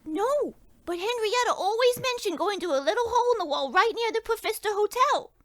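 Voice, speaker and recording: A man's voice: very high voice, animated, a little fast, pushed and bright, exaggerated voice. A man voicing a demure young woman client, coy and primly gossipy, in a parody of 1940s radio detective drama. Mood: coy, confiding.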